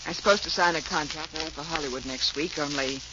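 A woman's voice: low-pitched, fairly quick, natural voice. An actress playing a brash, determined young showgirl in 1940s radio drama, blunt and tough-talking. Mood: worried, confiding. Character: brash, hard-edged young female showgirl, desperate and determined. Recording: muffled, hissy 1940s radio recording.

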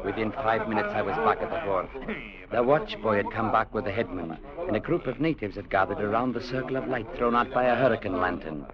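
An actor playing a stern, principled adventurer who narrates an old radio drama in a measured, deliberate way. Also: male voice, fairly low pitch, lightly acted. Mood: grim resolve. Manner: measured, deliberate, grimly resolute. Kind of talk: 1940s-50s radio drama narration and acting. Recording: muffled old radio recording with music behind.

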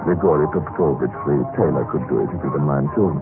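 Meek male clerk for radio drama: deep but animated, halting and apologetic, old-time broadcast sound with music behind. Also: narrow, tinny audio. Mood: wry, resigned. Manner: hesitant, stammering, deferential. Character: timid, apologetic dead government clerk narrating his own death. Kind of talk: radio drama acting, first-person storytelling.

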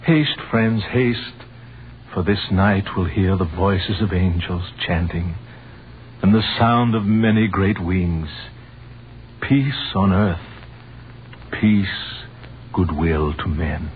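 A man's voice: sits low, slow pace, long pauses. An actor playing a devout Greek wise man in a 1930s radio drama, declaiming solemnly in archaic biblical speech. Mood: exalted. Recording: muffled, noisy 1930s radio recording.

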